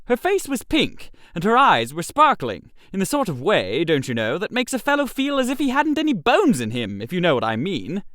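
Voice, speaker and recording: A man narrating a comic first-person story in an expressive, theatrical way. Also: high-pitched, normal speed, hardly any pauses, no echo, studio quality.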